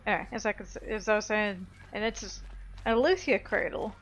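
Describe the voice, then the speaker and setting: A woman's voice: medium pitch, a little fast. A woman giving casual, offhand let's play commentary on a video game in an informal way. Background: faint game music.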